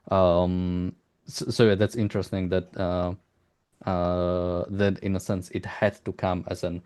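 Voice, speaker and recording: A man conducting a casual interview, talking in a halting, rambling way and repeating words as he searches for phrasing. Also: low voice, darkish tone.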